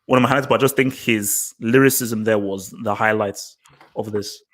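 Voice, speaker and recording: A young man co-hosting a casual album review, speaking in a relaxed, hesitant way with many ums. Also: on the low side, normal speed. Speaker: music review co-host.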